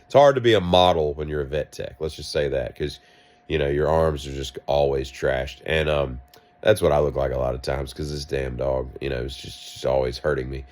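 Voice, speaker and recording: A man with a deep voice, sick and coughing, telling a rambling, wry story in a vlog. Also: moderate pace, darkish tone. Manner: wry, self-mocking, meandering asides. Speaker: vlogger.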